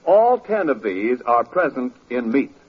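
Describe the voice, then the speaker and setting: A man's voice: mid-range voice, very animated, a little slow, husky. An American man announcing and narrating a scripted 1940s radio show. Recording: dull old radio broadcast recording.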